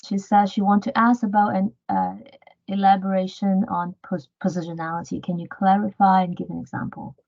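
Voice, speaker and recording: A woman moderating a webinar, relaying questions from the chat in a measured way. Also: mid-range voice, lively intonation, darkish tone. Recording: muffled lo-fi video call audio.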